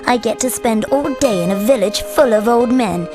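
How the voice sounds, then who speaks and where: A woman's voice: on the high side, normal speed, over music, natural voice. A woman playing a spoiled, playful young noblewoman in a video game, bored and sarcastic, drawling her words. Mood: bored, teasing.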